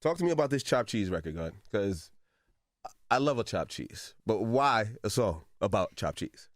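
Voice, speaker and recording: A man's voice: on the low side, big pitch swings, moderate pace, no echo, clean studio sound. A man hosting a casual radio interview, asking questions in an informal, conversational way.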